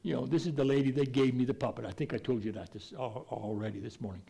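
A man's voice: mid-range voice, fairly even intonation, fast talker, gravelly, mellow, husky. A man preaching a conversational Bible teaching, folksy and plainspoken, with an occasional stutter and restarts.

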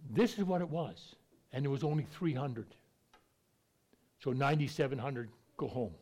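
A man's voice: mid-range voice, expressive, normal speed, a little mellow. A man preaching a conversational Bible teaching, folksy and plainspoken, with an occasional stutter and restarts.

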